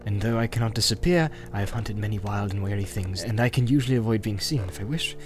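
A man voicing a grim, wary, secretive wandering ranger with a deliberate, cautioning and wry manner in an audiobook. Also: lowish voice, a little mellow, faint music behind, lightly acted. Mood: grim, guarded.

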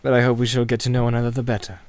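A man voicing a grim, wary, secretive wandering ranger with a deliberate, cautioning and wry manner in an audiobook. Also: medium pitch, completely flat delivery, a little mellow, no room sound, lightly acted. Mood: warning, grave.

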